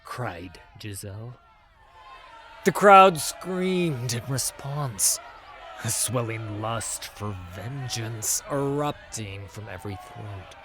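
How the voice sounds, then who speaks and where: A man narrating a fantasy story as a dramatic audiobook, in a measured, solemn manner. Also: medium pitch, fairly bright tone.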